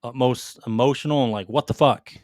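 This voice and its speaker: A man's voice: medium pitch, fairly quick, darkish tone, no room sound, clean studio sound. A man hosting a gaming podcast, chatting casually and emphatically with plenty of swearing. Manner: profane, emphatic fan talk. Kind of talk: casual chat, counting down favorites.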